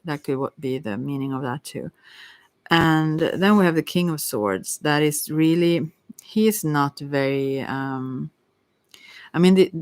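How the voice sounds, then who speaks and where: Halting woman casually reading tarot, dry recording. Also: low voice, no echo. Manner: halting, thinking aloud. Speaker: tarot reader.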